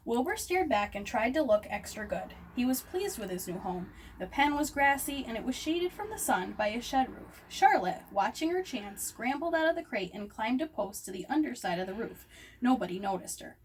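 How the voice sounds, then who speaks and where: A woman reads a children's book aloud as an expressive storyteller. Her voice sits on the high side. She is some distance from the microphone, with noise around her. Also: normal speed. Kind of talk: expressive read-aloud narration. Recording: distant microphone, noisy room ambience.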